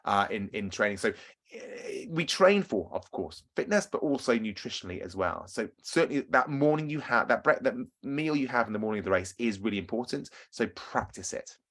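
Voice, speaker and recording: A man's voice: lowish voice, animated, fairly quick, a bit bright. Male running coach on a video call, chatty and upbeat, quizzing the group. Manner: chatty, upbeat, asks audience questions. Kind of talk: webinar talk and Q&A.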